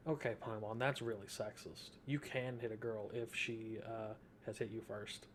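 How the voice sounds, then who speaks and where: A man's voice: lowish voice, fairly even intonation, normal speed. Offhand young male streamer, noisy background. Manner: joking, offhand. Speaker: video game streamer. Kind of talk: casual gameplay commentary. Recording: noticeable background noise, game sound.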